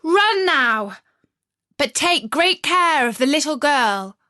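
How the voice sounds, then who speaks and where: A woman's voice: high-pitched, very animated, takes it slowly, sharp tone, no echo, clean studio sound, voice pushed up, heavily acted. A woman voicing a fierce but kindly little robber girl in a storybook, commanding and blunt. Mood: bossy, brisk.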